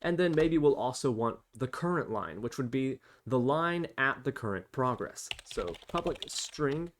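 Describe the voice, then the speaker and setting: A man with a medium-pitched voice presents a screen tutorial, explaining things step by step. Keyboard typing and clicks sit behind him, along with a faint background noise. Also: animated, measured pace. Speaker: tutorial host. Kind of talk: explanatory programming tutorial, talking while typing. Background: computer keyboard typing and clicks.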